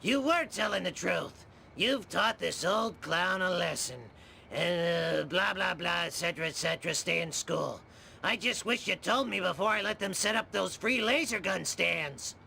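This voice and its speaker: A jaded old cartoon clown. The voice is high for a man, heavily gravelled and forceful, rattling off insincere showman patter. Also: normal speed, slight background noise, full character voice. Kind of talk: animated comedy game acting.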